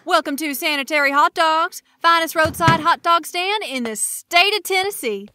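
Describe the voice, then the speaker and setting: A woman's voice: extremely high pitch, normal speed, pushed and bright, no room sound. A woman playing a wary roadside bouncer. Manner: curt, wary. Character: suspicious woman hot dog stand bouncer.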